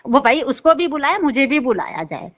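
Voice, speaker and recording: A woman's voice: high voice, sing-song, brisk. A woman calling for help, speaking earnestly and assertively over the phone. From Uttar Pradesh, India. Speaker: caller seeking help. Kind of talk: phone call conversation. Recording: tinny narrow-band phone line.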